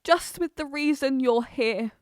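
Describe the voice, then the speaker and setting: A woman's voice: sits high, unhurried, darkish tone, no room sound, clean studio sound. A woman playing a remorseful, war-weary figure in an audio play. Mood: apologetic, weary.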